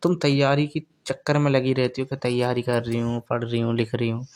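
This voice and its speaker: A man's voice: medium pitch, flat delivery, fairly quick, darkish tone, clean tone. A middle-aged man in a casual personal call, talking in a teasing way and often repeating his phrases.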